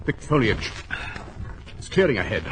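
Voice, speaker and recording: An actor playing a self-assured gentleman detective in a 1940s radio drama, clipped, precise and authoritative. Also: medium pitch, big pitch swings, normal speed, close to an everyday voice, low-quality recording. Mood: urgent, cautious.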